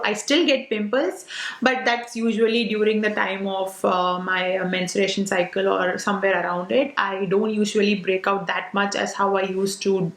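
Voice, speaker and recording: Indian woman late 20s, tamil speaker, casual beauty vlog q&a, lots of uh and like, echoey room. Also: mid-range voice. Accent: Indian English. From South India. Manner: chatty, many fillers like "uh" and "like". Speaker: beauty vlogger. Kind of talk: casual Q&A to camera. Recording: roomy echo.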